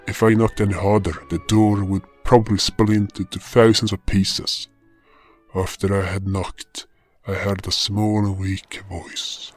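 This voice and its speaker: A man playing a solemn, powerful guardian hero who narrates his journey in a fantasy audio drama. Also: low-pitched. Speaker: narrator and lead actor. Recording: music behind the voice. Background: music.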